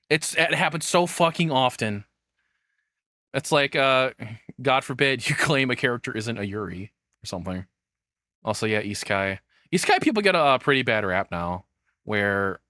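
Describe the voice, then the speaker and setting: A man's voice: medium pitch, bright, forceful tone, dry recording, clean studio sound. A man giving casual reaction commentary in a rambling, sweary, exasperated way. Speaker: streamer reacting to a video essay.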